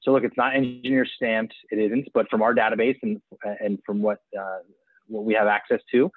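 A man in his forties on a video call, explaining his business in an eager sales pitch with frequent restarts. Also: fairly even intonation, fairly quick, dry recording. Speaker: auction platform executive. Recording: tinny phone-like video call audio.

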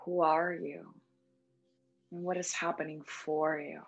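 A woman instructor leading a guided meditation in a gentle, soothing, unhurried manner with long pauses. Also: low voice, flat delivery, vocal fry, dull audio. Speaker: yoga nidra meditation instructor. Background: background music.

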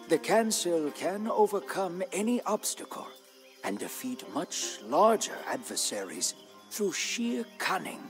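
An actor playing a proud, loyal Indonesian royal guard commander, narrating his tale in a solemn, weighty, measured storyteller's manner. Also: a little high. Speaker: campaign narrator. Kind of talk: dramatic scripted narration. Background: music.

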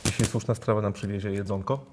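A man's voice: fairly low pitch, fairly even intonation, fast talker, background noise. A man chatting casually and colloquially in clipped phrases while setting up.

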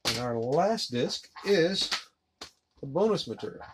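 A man in his thirties giving a relaxed, rambling unboxing commentary while handling discs at his desk. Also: a little high, unhurried. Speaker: collector unboxing a disc set. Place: collectibles room indoors. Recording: noisy home recording.

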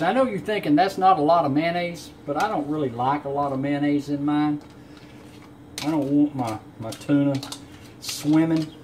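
Easygoing man, noisy kitchen recording. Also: fairly high pitch. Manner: easygoing, folksy, unhurried. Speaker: home cook presenting a recipe. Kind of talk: casual cooking demonstration. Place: home kitchen, indoors. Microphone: camera on counter a short way off. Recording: clear room noise in a kitchen.

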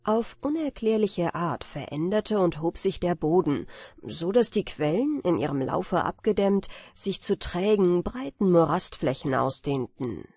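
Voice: mid-range voice, lively intonation, measured pace, a little mellow, breathy edge, no echo, studio quality. An audiobook narrator reading a fairy tale as a scripted bedtime story.

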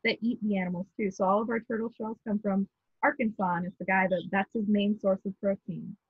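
Husky woman on a tinny video call. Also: medium pitch, fairly even intonation, brisk, no echo. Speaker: guest, shop co-owner. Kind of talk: casual video call interview. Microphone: shared video call. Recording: tinny phone-like call audio.

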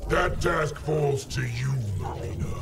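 A man playing a brutal, arrogant warlord emperor in a fighting game, gravelly, menacing and imperious. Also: on the high side, big pitch swings, takes it slowly, heavily acted. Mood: commanding. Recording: distant, long hall reverb, music behind.

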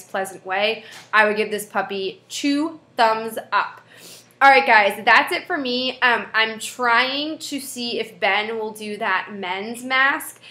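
Young female vlogger, congested head-cold voice, bright and a bit high, echoey small room. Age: young adult. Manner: chatty, friendly, with frequent fillers. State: stuffed-up nose from a head cold. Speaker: beauty vlogger. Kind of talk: casual product review. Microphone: webcam at desk distance.

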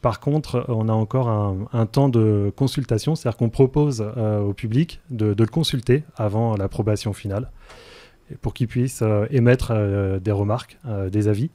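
Low, mellow man explaining haltingly. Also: no echo. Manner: hesitant, halting, frequent fillers. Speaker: interview guest, energy transition project officer. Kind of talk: explanatory radio interview answers. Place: radio studio, indoors. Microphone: close studio microphone.

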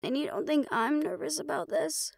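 A woman's voice: high-pitched, expressive, normal speed, gravelly, no echo, studio quality. A woman playing a tough, stubborn female hunter with a wry, defiant, casual manner in an audio roleplay.

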